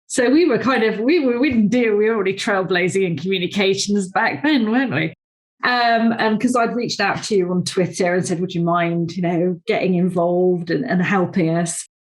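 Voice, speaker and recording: A woman hosts a podcast conversation over a video call, her voice sitting in a middle pitch. She talks in a chatty, rambling way, piling up fillers and asides as she leads into her questions. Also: normal speed. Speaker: podcast host, awards founder. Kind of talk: conversational interview.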